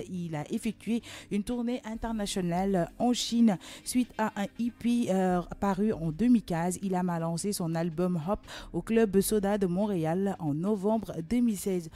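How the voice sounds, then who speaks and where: A woman's voice: mid-range voice, moderate pace, dark tone. A woman radio host reading a guest's bio from a prepared script. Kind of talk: scripted presenter introduction.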